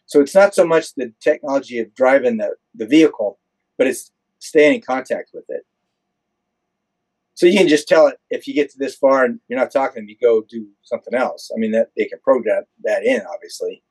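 A man's voice: mid-range voice, a little fast, darkish tone, low-quality recording. Plain-spoken older American man. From United States. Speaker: airline pilot and interview guest. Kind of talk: conversational interview answers, explanatory.

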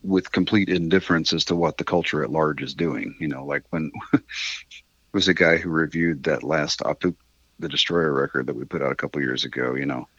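A middle-aged man with a husky voice, chatting candidly and casually as an interview guest, rambling a little and swearing mildly. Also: very deep voice, fairly quick, fairly bright tone, no echo, dull audio. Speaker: interview guest, independent musician.

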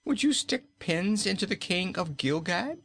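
A man's voice: high voice, lively intonation, unhurried, gravelly, fairly bright tone, slight background noise, voice pushed up, exaggerated voice. A man reading a storybook aloud, voicing a fat, timid king in a panicky, pleading way. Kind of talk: audiobook character voice.

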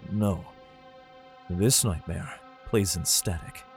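A man's voice: deep voice, takes it slowly, gravelly, a little mellow, exaggerated voice. An actor playing a grim, protective father in a science fiction audio drama, somber and guarded as he tells his story. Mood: haunted. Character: grim, protective father fleeing alien invasion with his children. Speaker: narrator and lead character. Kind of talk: dramatic first-person audio drama narration. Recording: music under the voice. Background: dramatic music.

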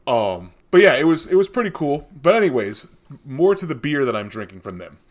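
Casting: casual guy co-hosting a beer show, chatty and meandering, medium pitch. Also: sing-song, brisk. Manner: rambling, tangential, with many fillers and restarts. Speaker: podcast co-host reviewing beer. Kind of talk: casual chat.

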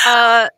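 A woman hosts a casual podcast in a bright, playful voice. The sound is dry and close, and she teases her guests as she sets up a game. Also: a little high, no room sound. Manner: playful, teasing, leading a game. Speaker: podcast host.

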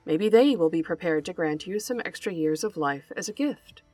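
A woman voicing a solemn male divine creator in a storybook fable, pronouncing fates in a measured way. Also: lowish voice, lively intonation, warm tone, dry recording, faint music behind.

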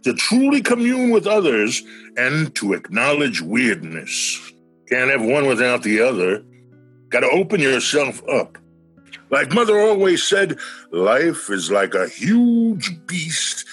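Radio play oddball, played by a man: male, unbothered philosopher, cheerfully weird, lively swooping delivery at a slow, slightly rough-edged pace. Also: medium pitch, soft background music, exaggerated voice. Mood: musing, grand. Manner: whimsical, musing, unbothered. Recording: home-recorded virtual radio play.

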